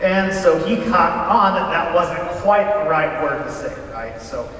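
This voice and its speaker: A man's voice: fairly high pitch, expressive, unhurried, a bit bright. A man acting as a casual, folksy yarn-spinner, telling an anecdote on stage in a chatty, conversational way. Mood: amused. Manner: conversational, checks with listeners. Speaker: storyteller in a readers theater. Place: large hall, live stage performance. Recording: very echoey hall, distant mic, faint noise.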